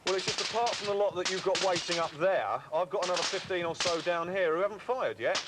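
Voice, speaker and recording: Guy playing british army sergeant, deferential and sorry, talks fast, loud noise and gunfire behind him. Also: high voice, close to an everyday voice. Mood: apologetic. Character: apologetic British army sergeant reporting to superior. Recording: very noisy 1980s film, gunfire behind.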